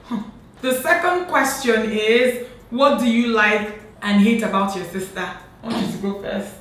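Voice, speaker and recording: A woman's voice: on the high side, moderate pace. Chatty woman vlogger in an echoey room. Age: late 20s. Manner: animated, laughing, chatty. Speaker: beauty vlogger host. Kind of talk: casual chat. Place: bright home room, indoors. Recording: echoey room with faint noise.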